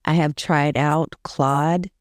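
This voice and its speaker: A woman's voice: low voice, steady tone, takes it slowly, no room sound, studio quality. A woman, a video marketing coach, speaking as a guest on a podcast in an upbeat, casual way, laughing easily. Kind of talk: casual interview.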